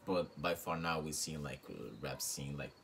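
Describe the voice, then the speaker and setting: A young man from Morocco with an extremely low voice chats in a relaxed way, full of fillers and quiet laughs. He is recorded close on a phone in a small room, with a faint hiss behind him. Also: normal speed. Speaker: guest rapper. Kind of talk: casual interview. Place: small room with reed-panel wall.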